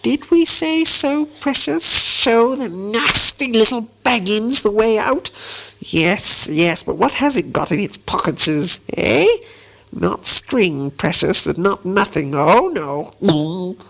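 A man voicing a slimy, hungry cave creature for a storybook, with a shrill, hissing, wheedling whine and repetitive muttering. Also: animated, slow, creaky, a bit bright, exaggerated voice, poor audio. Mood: sly, spiteful. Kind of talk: storybook character voice.

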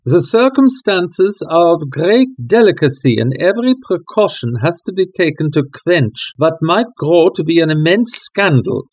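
A man's voice: on the high side, a little mellow, breathy edge, pitched above the performer's own voice. A man voicing a haughty, agitated European king who speaks in a formal, stately way. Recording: dull lo-fi recording.

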